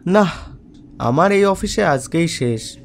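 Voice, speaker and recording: Cartoon brother role, male actor: young, somewhat high voice, casual and resigned, light background noise. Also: expressive, slow, creaky, heavily acted. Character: young man, resigned office-working brother. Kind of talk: cartoon voice acting.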